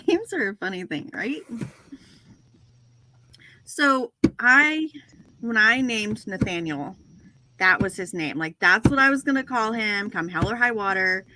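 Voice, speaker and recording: A woman's voice: medium pitch, expressive, measured pace, pauses often, bright, forceful tone, rough recording. A woman in her forties talks casually on a home livestream, rambling through stories and laughing at herself. Her voice sits in a middle range. A faint hiss runs under the recording. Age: 40s. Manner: chatty, self-deprecating, laughs often. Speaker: host of a reseller livestream. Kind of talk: casual chat with lots of laughing.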